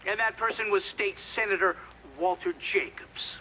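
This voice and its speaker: Probing male official, high-pitched and formal, noisy hearing audio, game cutscene. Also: steady tone, moderate pace, pushed and bright, highs cut off. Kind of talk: official questioning in a video game.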